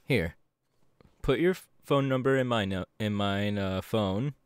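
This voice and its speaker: A man's voice: fairly low pitch, measured pace, clear, resonant tone, no echo, studio quality, close to an everyday voice. A man playing a friendly, bashful young hero with a hesitant, playful manner in an ASMR audio roleplay. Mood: sincere, bashful.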